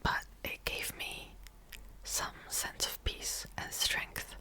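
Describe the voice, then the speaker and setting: Young woman whispering asmr close to the mic, slow and kinda bright. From Czech Republic. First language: Czech. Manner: soft unvoiced whisper, rambling with small laughs. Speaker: ASMR creator. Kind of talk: whispered ASMR reading wrap-up.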